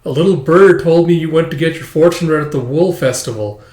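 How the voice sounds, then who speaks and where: A man's voice: fairly high pitch, fairly quick, darkish tone, voice pushed up, close to an everyday voice. A man voicing a pompous, grandiose schoolboy know-it-all with a mystical bent, from a comic story. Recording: roomy, echoey sound.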